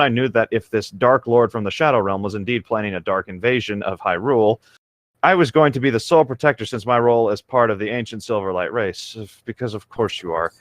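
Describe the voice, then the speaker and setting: A man's voice: lowish voice, no room sound. A man reading fan fiction aloud as a co-host, breaking off for sarcastic, offhand asides.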